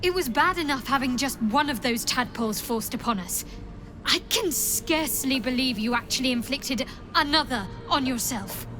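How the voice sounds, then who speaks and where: A high-voiced, devout young cleric woman. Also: expressive, normal speed, music in the background, low-quality recording. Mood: dismayed. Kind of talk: video game character dialogue.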